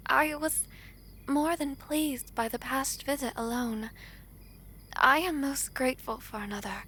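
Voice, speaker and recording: A woman playing a gentle, earnest young princess in a romantic audio drama, speaking softly in formal, hesitant phrases. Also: high-pitched, unhurried, slight background noise. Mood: grateful, polite.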